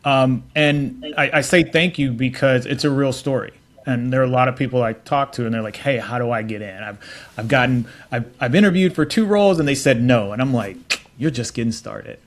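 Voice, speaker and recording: A man's voice: mid-range voice, fairly quick. A middle-aged man answering interview questions as a tech executive, telling his story in an informal, affable way with frequent restarts. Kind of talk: casual conversational storytelling.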